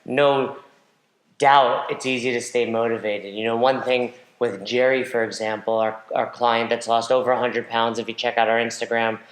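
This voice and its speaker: A direct, joking man hosting a podcast, his voice at an ordinary middle pitch. He talks like a coach giving straight advice to his listeners. A roomy echo hangs around his voice. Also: flat delivery, normal speed. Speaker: podcast host and nutrition coach. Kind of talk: casual podcast chat.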